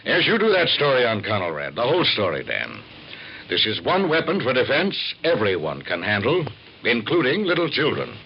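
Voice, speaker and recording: An actor playing a knowing, persuasive American newspaper editor, with measured, weighty delivery in a 1950s radio drama style. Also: male voice, mid-range voice, huge pitch swings. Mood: grave, persuasive. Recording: dull, faintly noisy vintage radio recording.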